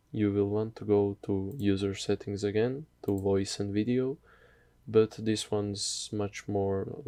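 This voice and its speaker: A man narrating a casual software tutorial in a hesitant, halting, off-the-cuff way. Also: lowish voice, no echo.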